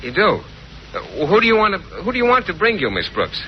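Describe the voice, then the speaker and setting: Muffled old radio, shy naive American man, slightly high voice, hesitant sitcom delivery. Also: huge pitch swings, a little fast. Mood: puzzled. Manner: hesitant, bashful, innocent. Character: shy, naive, mild male biology teacher. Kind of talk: 1940s radio sitcom acting. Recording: muffled 1940s radio recording, faint noise.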